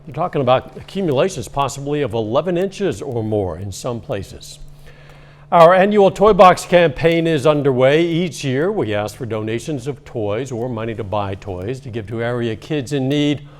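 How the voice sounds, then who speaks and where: A man reading a local evening newscast as anchor, in a steady, measured delivery with a slightly strained voice. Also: mid-range voice. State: losing his voice, coughing at times.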